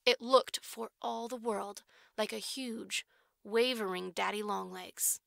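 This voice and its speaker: A woman's voice: mid-range voice, slow, creaky, fairly bright tone, no echo, clean studio sound. A woman reading literary fiction as an audiobook narrator, in scripted storytelling style.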